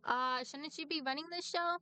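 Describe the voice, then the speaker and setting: A woman's voice: sits high, normal speed, a crowd in the background. A woman live streaming a video game, casually reacting and reading choices aloud, hesitant and jokey.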